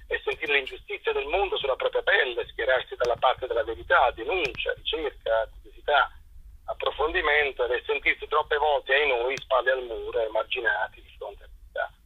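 A man with a husky voice, speaking as a journalist in a radio interview, with insistent, rhetorical, halting phrasing. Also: mid-range voice, dry recording. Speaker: journalist and stage narrator, interview guest. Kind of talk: impassioned phone interview about a stage show. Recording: dull, lo-fi telephone line.

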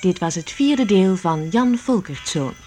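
A woman's voice: medium pitch, lively intonation, measured pace, a little mellow, faint music behind. A woman narrator reading scripted credits for a radio play in a formal announcement.

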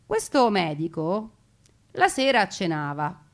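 A woman performs a narrative piece as a storyteller. She speaks expressively in the first person, placing emphatic pauses and setting out details word by word. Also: on the low side, sing-song. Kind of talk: theatrical first-person narration of family war memories.

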